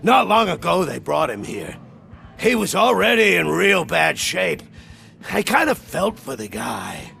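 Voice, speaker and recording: An actor playing a frightened, cowardly accountant in a video game, nervous, pleading and excitable. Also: male voice, high voice, a little slow, gravelly, a little hiss or hum, full character voice. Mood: anxious, cooperative. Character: cowardly, frightened male accountant for a criminal gang.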